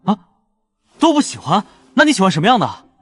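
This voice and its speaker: Man acting as animated, high-voiced nosy young sidekick. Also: brisk, breathy edge, dry recording, full character voice. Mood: puzzled, curious. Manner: prying, animated. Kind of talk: Chinese audio drama dialogue.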